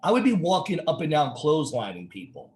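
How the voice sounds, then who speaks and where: A man's voice: on the high side, wildly swooping pitch, a little fast, darkish tone. A man with an American accent hosting a casual conversational interview, emphatic and given to repetition and rhetorical questions. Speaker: talk show host.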